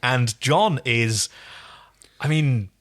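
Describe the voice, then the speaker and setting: A man co-hosting a casual recap chat, animated and given to acting things out. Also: mid-range voice, very slow. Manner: animated, acts out lines mockingly. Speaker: podcast co-host.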